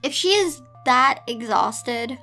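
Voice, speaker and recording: Woman, bright high voice, reads stories aloud with exasperated, sarcastic commentary; music underneath. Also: expressive, slow pace. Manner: theatrical, incredulous, sarcastic asides. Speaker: host reading fan fiction aloud with commentary.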